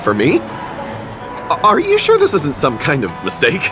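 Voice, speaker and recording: A lonely young heir who speaks softly and hesitantly, with a lively, wandering pitch. Clear game music runs under his lines. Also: measured pace. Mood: surprised. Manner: hesitant, soft, wistful. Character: shy lonely young man, son of a powerful family. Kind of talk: video game voice acting.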